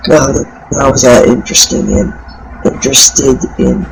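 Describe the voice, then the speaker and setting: A man on a casual panel giving blunt, halting opinions. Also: mid-range voice, vocal fry, noisy background. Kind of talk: casual chat.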